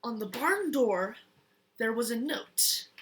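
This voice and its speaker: A young child reading a picture book aloud in a deliberate, expressive way. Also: medium pitch. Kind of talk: storybook read-aloud.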